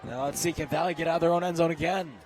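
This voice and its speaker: A young man with a hoarse, strained voice doing excitable live play-by-play commentary outdoors at a football game. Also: fairly high pitch, racing. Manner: excitable, shouts on big plays. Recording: loud outdoor stadium crowd noise.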